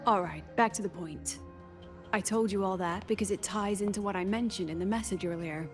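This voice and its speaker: A cool, eccentric female academy president from a video game, acted by a woman, with a mid-pitched voice. She is composed, deliberate and aloof, speaking in clipped statements over faint music. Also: natural voice. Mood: composed, explanatory. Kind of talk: scripted video game voice acting. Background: game music under the voice.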